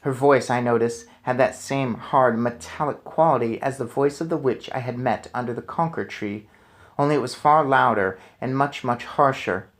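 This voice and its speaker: A man reading a children's book aloud as a storyteller, with expressive, deliberate phrasing. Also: medium pitch. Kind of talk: expressive children's book narration with casual asides.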